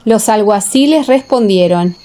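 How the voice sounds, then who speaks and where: A woman reading a dramatized Bible audiobook as narrator, in a solemn, measured storytelling manner. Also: mid-range voice, animated, dark tone. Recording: echoing, long reverb.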